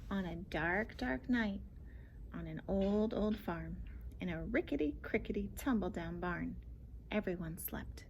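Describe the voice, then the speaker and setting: A woman reading a picture book aloud to children in a sing-song, dramatic way, often dropping to a hushed near-whisper. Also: expressive, a little slow, rough recording. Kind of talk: expressive children's story read-aloud. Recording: clear background noise, vehicle-like rumble.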